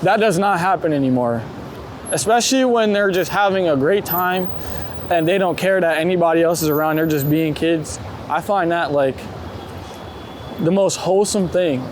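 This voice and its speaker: Man, high voice, casual walking chat, informal with swearing, city street noise behind. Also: normal speed. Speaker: street photographer being interviewed. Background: traffic and urban street noise.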